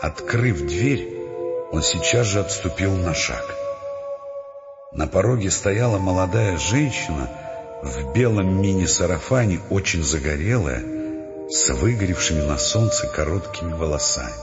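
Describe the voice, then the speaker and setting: A man's voice: low-pitched, lively intonation, unhurried. An actor as a male storyteller, narrating an audio drama with dry irony. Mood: descriptive. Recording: dull, long reverb, music bed.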